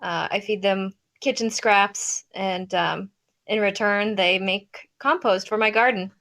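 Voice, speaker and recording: A woman's voice: medium pitch, measured pace, a bit bright. A woman taking part in a show, chatting casually. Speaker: show participant. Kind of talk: casual chat.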